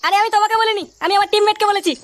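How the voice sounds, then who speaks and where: A performer voicing a foul-mouthed, grumbling young slacker son in a comedy cartoon, crude and complaining, with a pitched-up effect. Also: steady tone, very fast, fairly bright tone, slight background noise. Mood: irritated. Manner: crude, complaining, swearing constantly. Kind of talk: comedy cartoon voice-over with pitch-shifted voices.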